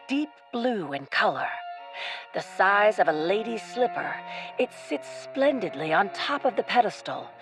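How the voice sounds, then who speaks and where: A woman narrating a scripted radio adventure play in a dramatic, descriptive storytelling manner. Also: mid-range voice. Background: music.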